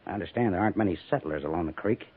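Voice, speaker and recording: An actor playing a stern, sarcastic commanding army major in a 1950s radio western, measured and pointed in delivery. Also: male voice, deep voice, husky. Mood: stern briefing. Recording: muffled, phone-like 1950s radio recording.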